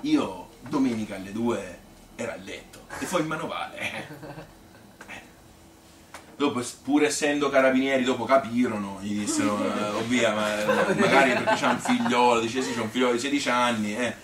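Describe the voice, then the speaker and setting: Actor as wry Tuscan storyteller, roomy distant sound. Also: male voice, mid-range voice, moderate pace, a crowd in the background, natural voice. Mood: wry recollection. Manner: rambling, colloquial, wry, re-enacts dialogue. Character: sociable, wry Tuscan man recalling his school days. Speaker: stage actor and monologist. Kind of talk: theatrical monologue, colloquial anecdotal storytelling. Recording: roomy, distant mic with faint noise.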